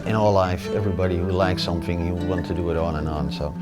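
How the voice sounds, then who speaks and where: A man's voice: low-pitched, flat delivery, quick pace. A man from the Netherlands with a Dutch accent, speaking English as an interviewee in a plain, matter-of-fact way with frequent "uh" fillers. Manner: plain-spoken, lists feats matter-of-factly, frequent uh fillers. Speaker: adventurer interviewee. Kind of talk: casual interview talk. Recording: music playing underneath. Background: guitar music.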